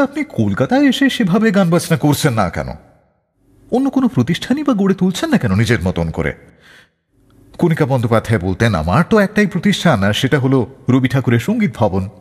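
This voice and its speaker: A man's voice: a little high, huge pitch swings, fairly quick, a little mellow, husky. A man hosting a radio show, narrating scripted anecdotes between songs. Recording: long hall-like reverb, faint music behind. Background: faint music.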